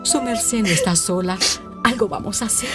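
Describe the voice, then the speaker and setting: A woman's voice: medium pitch, moderate pace, over loud music, close to an everyday voice. A woman playing a worried, humble mother in her late thirties in a radio drama, tender and hesitant. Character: worried, humble 38-year-old widowed mother.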